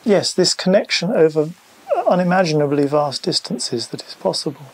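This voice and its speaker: A man's voice: on the high side, moderate pace, darkish tone. A man interviewing by telephone, effusive and a little hesitant in his phrasing.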